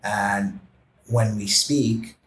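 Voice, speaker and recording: A man speaking as a podcast guest, earnest and gentle, talking in a reflective way with many fillers like "you know" and "like". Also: lowish voice, steady tone, very slow, reverberant, a little hiss or hum. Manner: gentle, heartfelt. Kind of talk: reflective interview conversation.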